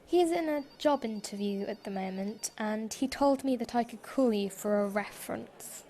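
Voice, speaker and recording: A woman's voice: fairly high pitch, moderate pace, dark tone, lightly acted. A woman playing a stern, exasperated department store boss in a fan audio drama, formal and condescending. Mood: businesslike.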